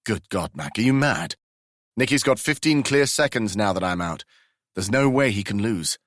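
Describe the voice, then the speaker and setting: A man's voice: lowish voice, a bit bright, no room sound, clean studio sound. A man voicing a normally impassive racing figure speaking in a raised, incredulous voice. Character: cool, impassive male racing team leader.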